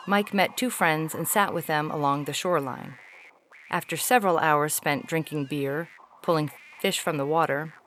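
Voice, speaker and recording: A woman's voice: low-pitched, a bit bright, a little hiss or hum. A woman playing a formal alien agent in audio fiction, reporting on humans in stiff, clinical, precise phrasing with a faintly weary, homesick temper. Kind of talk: scripted audio fiction reading.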